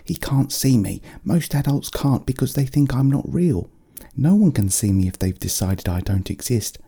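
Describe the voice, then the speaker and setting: A gentle little storybook bear, voiced by a man, speaks in a raised, soft-edged voice with a husky grain. The creature is polite and coaxing, soothing as it talks. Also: mid-range voice, animated, normal speed, pitched above the performer's own voice. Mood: reassuring. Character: small gentle friendly magical teddy bear.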